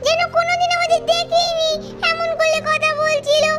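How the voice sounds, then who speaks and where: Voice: very high voice, little pitch movement, moderate pace, music in the background. A performer voicing an earnest, plaintive little doll girl in a children's cartoon. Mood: worried, sad.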